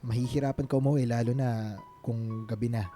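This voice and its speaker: An actor playing a devoted, reassuring suitor in a Filipino radio drama, speaking earnestly and mixing in English. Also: male voice, mid-range voice, steady tone, fairly quick, mellow, faint music behind, lightly acted. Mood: caring, insistent.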